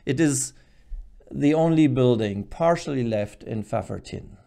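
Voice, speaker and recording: A middle-aged man presents a lesson at a desk microphone. His voice sits at an average male pitch. He explains things in an earnest way, leaning on key words for emphasis. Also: lively intonation, a little slow, vocal fry, warm tone. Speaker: presenter, Bible teacher. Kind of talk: explanatory teaching to camera. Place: small furnished studio room at a desk, indoors. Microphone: desk microphone close in front.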